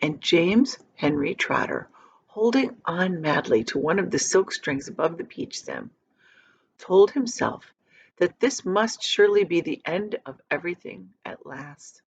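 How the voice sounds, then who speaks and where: A woman reading a children's book aloud like a teacher, expressive and dramatic, with emphatic pauses. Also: lowish voice, vocal fry, a little hiss or hum. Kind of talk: expressive storybook read-aloud.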